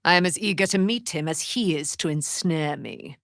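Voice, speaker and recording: An actress playing a proud, wrathful immortal warrior, daughter of a moon goddess, declaiming in grand archaic speech for a fantasy game. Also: female voice, sits low, normal speed, fairly bright tone, dry recording, studio quality. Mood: solemn, grave. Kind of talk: theatrical fantasy game voice acting.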